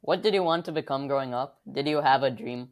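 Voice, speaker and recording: A man's voice: mid-range voice, a little fast. A man co-hosting a podcast, asking interview questions in a conversational way.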